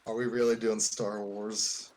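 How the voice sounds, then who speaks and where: Casual man with a lowish voice. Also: little pitch movement, a little slow, darkish tone, low-quality recording. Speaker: co-host of a story-reading livestream. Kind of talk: casual chat.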